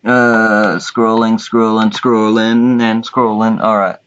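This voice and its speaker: Male contest host, casual and sweary, unhurried delivery, muffled lo-fi voice chat audio. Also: lowish voice, level tone, fairly bright tone, slight background noise. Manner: crude, swearing.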